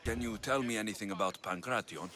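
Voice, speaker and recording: An actor playing a gruff, impatient young Spartan mercenary in a game, speaking in a curt, stern and sardonic way. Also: male voice, on the low side, fast, pushed and bright. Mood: businesslike.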